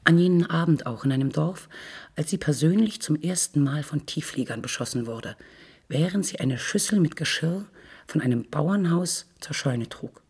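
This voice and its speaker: A woman giving a measured, sober reading of literary prose in a husky, breathy voice, as a radio narrator. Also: low-pitched, lively intonation, a little mellow.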